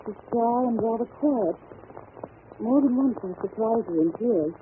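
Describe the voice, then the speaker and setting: Genteel young governess, anxious, earnest, halting, 1930s radio drama, thin hissy recording. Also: female voice, on the high side, expressive, narrow, tinny audio. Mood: earnest, uneasy.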